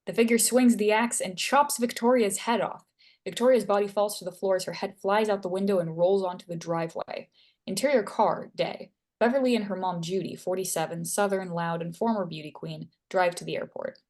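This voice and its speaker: A woman's voice: mid-range voice, brisk, a little mellow. A woman narrator reading screenplay stage directions at a table read.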